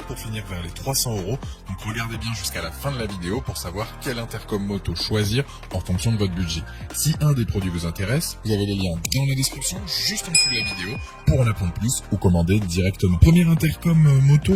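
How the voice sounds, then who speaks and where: A man's voice: mid-range voice, lively intonation, fast. A man presenting a scripted product review as narration. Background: background music.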